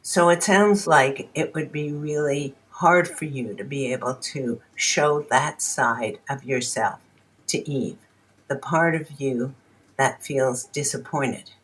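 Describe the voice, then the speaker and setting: An actor playing a calm family therapist who asks gentle, probing questions in a measured, reflective way. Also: mid-range voice, poor audio. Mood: empathetic, careful. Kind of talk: naturalistic audio drama dialogue.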